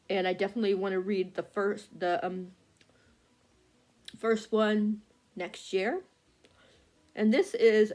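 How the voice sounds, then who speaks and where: A woman chatting casually about upcoming books, rambling with lots of fillers and restarts while working a phone. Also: medium pitch. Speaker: book vlogger. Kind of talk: casual chat.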